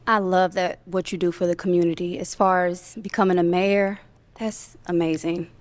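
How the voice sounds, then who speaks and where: Woman contestant, lowish voice, dry close sound, upbeat delivery. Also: little pitch movement, moderate pace, dry recording. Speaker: dating show contestant. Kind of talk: casual chat.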